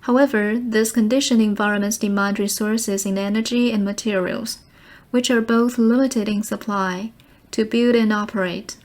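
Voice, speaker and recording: A woman reading short study texts aloud in a measured, formal manner. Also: mid-range voice, darkish tone. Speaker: reader of practice passages.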